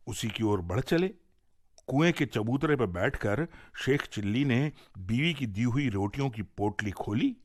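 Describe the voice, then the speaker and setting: A man narrating a folk tale for radio, in an expressive, clearly enunciated storytelling delivery. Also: mid-range voice, dry recording, clean studio sound. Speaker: radio storyteller and series presenter.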